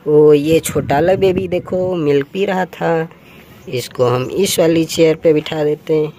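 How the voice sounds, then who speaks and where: High-pitched man narrating toy play. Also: unhurried, warm tone, slight background noise. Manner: exclamatory, sing-song, repetitive interjections. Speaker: toy channel presenter.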